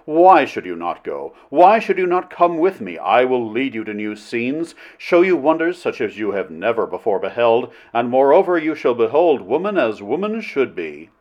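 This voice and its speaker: A man's voice: mid-range voice, sing-song, normal speed, hardly any pauses, a little mellow, lo-fi sound, natural voice. A man voicing a bold, cunning adventurer in an old romance audiobook, impassioned and formal in speech. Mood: passionate, urging. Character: bold, cunning adventurer hero wooing a princess.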